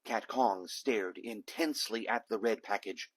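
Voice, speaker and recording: A man reading a children's picture book aloud in an animated, theatrical way, with dramatic emphasis. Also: fairly low pitch, a little slow, breathy edge. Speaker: storybook reader, a dad reading aloud.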